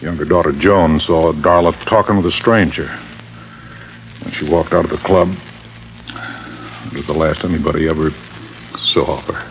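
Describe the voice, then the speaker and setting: Gruff, deep-voiced rich father. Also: fairly even intonation, normal speed, pauses often. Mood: certain, insistent. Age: middle-aged. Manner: brusque, insistent, plain-spoken. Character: gruff, defensive, wealthy middle-aged father. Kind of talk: old-time radio drama acting. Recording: dull, faintly noisy vintage radio recording.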